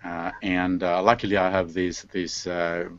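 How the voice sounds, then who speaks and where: Male panel host, low register, unscripted and halting, close dry sound on a slightly muffled call. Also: little pitch movement, no echo. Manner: hesitant, stop-and-start phrasing. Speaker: host of an online panel show. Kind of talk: informal unscripted hosting. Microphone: online video call. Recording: slightly dull, lo-fi online video call.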